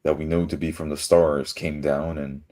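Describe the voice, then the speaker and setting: A man playing a tabletop roleplaying game, speaking in character with measured, formal phrasing. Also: a bass voice, little pitch movement, warm tone.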